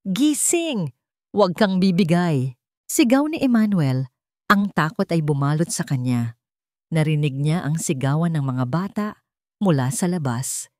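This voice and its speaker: A woman's voice: low voice, expressive, normal speed, darkish tone, no room sound, studio quality. A woman reading scripted fiction as a dramatic story narrator.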